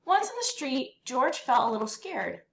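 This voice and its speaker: Female storyteller for a children's picture book, highish and very lively in pitch, roomy home-style sound. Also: creaky. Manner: animated, deliberate phrasing for young listeners. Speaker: read-aloud storyteller. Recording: roomy echo, faint background noise.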